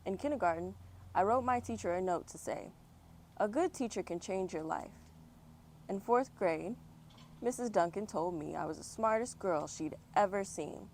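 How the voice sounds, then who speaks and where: A woman's voice: mid-range voice, expressive, moderate pace, darkish tone. A woman reading a children's picture book aloud with chatty, encouraging asides to young viewers, recorded outdoors. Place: outdoors on a fall day. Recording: outdoor background noise, passing vehicles. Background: outdoor ambience, traffic.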